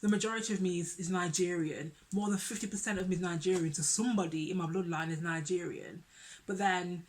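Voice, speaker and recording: A young woman vlogging to camera in an earnest, expressive way, repeating herself for emphasis. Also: lowish voice, fairly quick. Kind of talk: casual vlog talk to camera.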